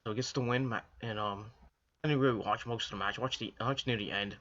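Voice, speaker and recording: A hoarse man from the Northeastern US, coming down with a cold, rambling casually through a wrestling review with lots of restarts and fillers. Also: lowish voice, steady tone, quick pace, a bit bright, highs cut off. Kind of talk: casual solo commentary to camera.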